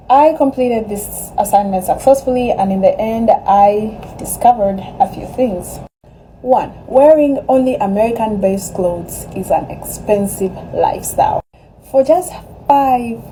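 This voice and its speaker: A woman's voice: mid-range voice, dark tone, slight background noise, rough recording. A young woman who grew up in Uganda, giving a blunt, emphatic opinion as a student in a social media video.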